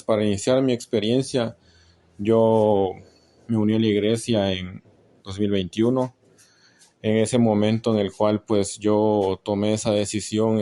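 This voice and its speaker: A man's voice: lowish voice, dark tone. A young Guatemalan man with a Guatemalan Spanish accent, telling his story in a voice message recorded on a phone, with formal wording and many filler words. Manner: measured, formal wording with frequent "pues" fillers. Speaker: listener sharing his story.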